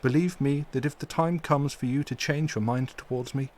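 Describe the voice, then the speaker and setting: A man voicing an elderly, stern but tender foreign professor who speaks in solemn, formal, halting phrases. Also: fairly high pitch, lively intonation, pitched above the performer's own voice, close to an everyday voice.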